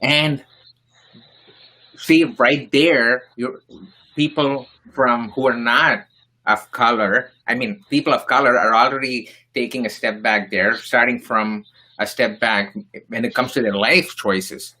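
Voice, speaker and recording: Earnest panelist from India, man with a bright, forward voice. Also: medium pitch, steady tone, slow pace, rough recording. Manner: earnest, explains with examples and asides. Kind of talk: roundtable discussion.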